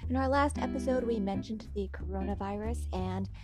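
A woman's voice: mid-range voice, a little fast, loud music behind the voice. An American woman hosting a podcast in an informal, upbeat and encouraging chatty style. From United States. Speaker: podcast host.

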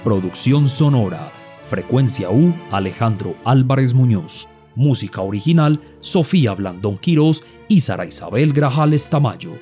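A man's voice: mid-range voice, animated, normal speed, warm tone. A man reading scripted credits as a radio serial announcer. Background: music.

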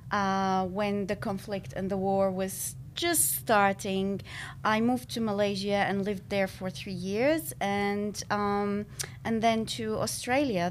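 Slow, hesitant woman from Syria. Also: medium pitch, slight background noise. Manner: hesitant, many fillers and restarts, thoughtful. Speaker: podcast guest. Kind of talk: casual interview answers.

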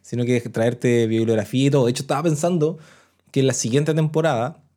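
A Chilean man hosts a podcast in casual, chatty talk. His voice is mellow and dark in tone, and his pitch sits in an ordinary middle range. He often restarts phrases as he talks. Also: fairly even intonation, fast talker. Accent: Chilean Spanish. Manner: chatty, restarts phrases, reads out listener messages. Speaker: podcast host.

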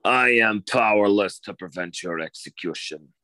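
A man's voice: lowish voice, normal speed, bright, forceful tone, no echo, voice pushed down. A man voicing a solemn, grandiose military executioner, declaiming pompously in mock radio melodrama. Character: solemn, grandiose male military executioner addressing a condemned bandit.

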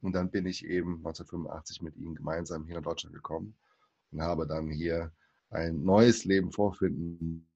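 A man in his forties, born in Brazil, speaks as a podcast guest. His voice sits very low with a gravelly, husky texture. He talks in a hesitant way, with many fillers and restarts. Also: darkish tone, lo-fi sound. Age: 40s. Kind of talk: casual interview talk.

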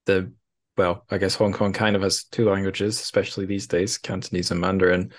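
A man hosting a casual interview, asking questions in a halting way and repeating words as he goes. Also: sits low, little pitch movement. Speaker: podcast host, interviewer.

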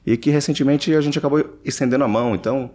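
A man talking as a guest in a casual interview, relaxed, with many fillers and pauses. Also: mid-range voice. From Brazil.

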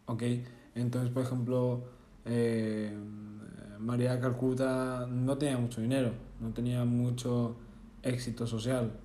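Young man, reflective monologue, mellow dark tone, roomy echo. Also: medium pitch, slight background noise. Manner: casual and earnest, with self-corrections and tag questions. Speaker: vlogger.

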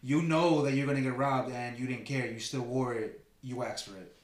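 A man's voice: medium pitch, steady tone, moderate pace, reverberant. A young man from Bogotá, co-hosting a casual chat and explaining things in a casual, slangy way. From Bogotá, Colombia. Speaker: podcast co-host.

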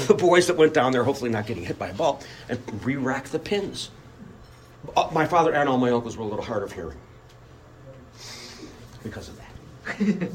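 An older American man giving an emphatic public speech with personal anecdotes and dramatic pauses. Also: a little high, darkish tone. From Buffalo, United States. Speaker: club speaker. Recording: noisy live room recording.

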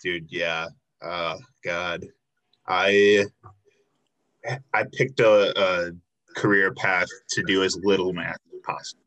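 A man's voice: deep voice, steady tone, slow, pauses often, fairly bright tone. A man in his twenties hosting a casual interview, laid-back and loose, with lots of fillers and casual swearing. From Chicago south suburbs, USA. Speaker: podcast host.

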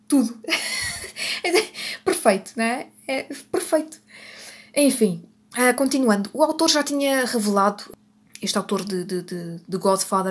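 A woman's voice: fairly high pitch, slightly rough. Rambling Portuguese woman podcaster. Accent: European Portuguese. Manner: rambling, self-correcting, laughs at herself. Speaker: podcast host. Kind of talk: unscripted solo podcast chat.

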